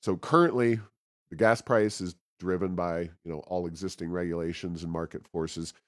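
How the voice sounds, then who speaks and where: A man hosting a policy talk show, giving unscripted commentary in a measured, somewhat halting way. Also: low voice, steady tone, no room sound, clean studio sound.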